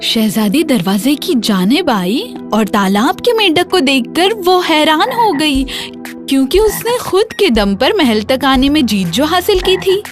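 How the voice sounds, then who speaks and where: A woman narrating a scripted children's fairy tale in an expressive, dramatic storytelling manner. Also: high-pitched, a little slow, soft background music.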